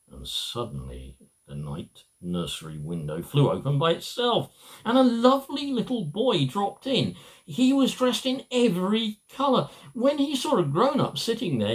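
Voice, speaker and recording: A middle-aged man reading a children's story aloud, halting and emphatic, with small stumbles. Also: high voice, sing-song, rough recording. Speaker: amateur storybook reader.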